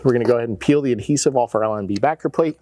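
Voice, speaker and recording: A man's voice: medium pitch, rapid-fire, mellow, slight background noise. An American man in his forties giving a casual, plain-spoken step-by-step tutorial as an installation instructor.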